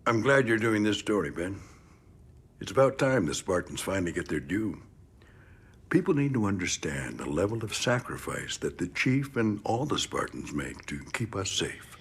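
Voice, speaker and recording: An actor playing a gritty, middle-aged decorated military trainer in an audio drama, gruff and plain-spoken with emphatic, measured praise. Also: male voice, on the low side, very animated, heavily acted. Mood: earnest, admiring. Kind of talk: audio drama interview acting.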